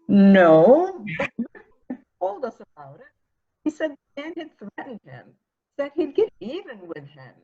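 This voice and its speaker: A woman's voice: high-pitched, big pitch swings, a little slow, pauses often, highs cut off, full character voice. A woman playing an evasive, protective woman in a murder mystery reading, defensive and primly indignant. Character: evasive, protective woman guarding an excitable boy.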